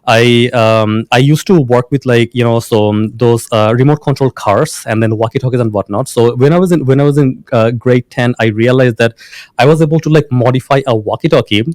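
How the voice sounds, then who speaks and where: A man's voice: medium pitch, brisk, a little mellow, no echo. A man from Bangladesh, a founder being interviewed, who restarts often and uses many fillers. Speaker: founder and guest. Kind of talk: casual interview talk.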